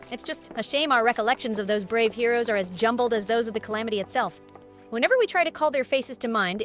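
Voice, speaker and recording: An actress playing a brisk, plain-spoken innkeeper with a British accent and a frank, chatty manner in a video game. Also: female voice, a little high, fairly bright tone. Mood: somber. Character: brisk, plain-spoken woman innkeeper running an adventurers' guild. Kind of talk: scripted video game cutscene acting.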